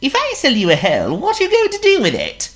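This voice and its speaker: A woman doing a squeaky, know-it-all storybook worm. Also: wildly swooping pitch, quick pace. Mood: dry. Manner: dry and matter-of-fact. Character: clever, patient, modest male worm.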